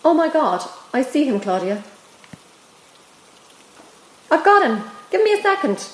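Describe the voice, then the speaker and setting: Girl playing eager female spy on a hunt, very animated, echoey radio play. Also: high-pitched, measured pace. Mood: intent, excited. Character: eager female field spy hunting a target. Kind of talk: amateur radio drama acting. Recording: echoey amateur recording, faint noise.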